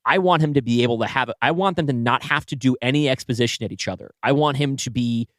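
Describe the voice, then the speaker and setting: A man with a medium-low voice talks casually as a podcast co-host. He reasons aloud in an analytical, conversational way, and the sound is dry, with very little room in it. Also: fast talker, fairly bright tone, no echo. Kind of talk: casual story brainstorming.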